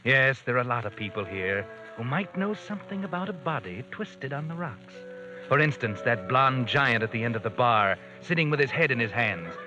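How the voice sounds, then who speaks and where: A man's voice: medium pitch, lively intonation, moderate pace, close to an everyday voice. Guy doing an ominous all-knowing narrator for an old 40s radio mystery, music under him, muffled vintage sound. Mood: sinister, knowing. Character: mysterious all-knowing male night-walking narrator of dark tales. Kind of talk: 1940s radio acting, scripted narration. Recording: dull vintage radio recording, music behind.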